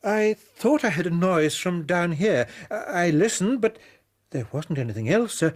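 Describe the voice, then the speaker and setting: An actor playing a fussy, shaken Victorian journalist in a radio drama, hesitant and agitated, with an English accent. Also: male voice, high-pitched, expressive, moderate pace. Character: flustered, self-important Victorian newspaper journalist, shaken.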